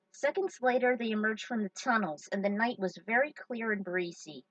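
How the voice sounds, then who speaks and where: A woman's voice: on the high side, lively intonation, moderate pace, a bit bright, dry recording, distant mic, dull audio. A woman reading a story aloud, breaking off for chatty, joking asides. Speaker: fan fiction reader. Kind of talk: story narration with casual asides.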